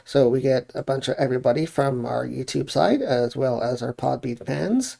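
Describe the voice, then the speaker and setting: Creaky, mellow-voiced man co-hosting. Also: medium pitch, moderate pace. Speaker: co-host and chat moderator. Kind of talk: casual live-stream talk and show promotion.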